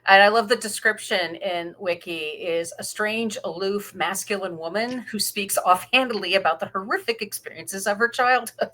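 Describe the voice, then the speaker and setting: A woman's voice: mid-range voice, moderate pace, hardly any pauses, pushed and bright, poor audio. A woman co-hosting a podcast, chatting casually and adding commentary. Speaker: podcast co-host.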